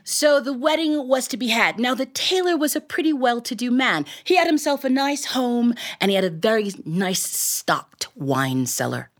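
A woman's voice: on the high side, animated, normal speed, hardly any pauses. An American woman telling a story live to an audience in a colorful, playful, theatrical way. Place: recorded live in a radio studio before an audience.

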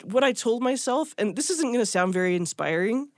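A woman's voice: on the high side, lively intonation, a little fast, no room sound, clean studio sound. A woman recounting her own experience in a candid, self-deprecating way, with plenty of likes and you-knows. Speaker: guitarist interviewee. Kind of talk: casual recounting.

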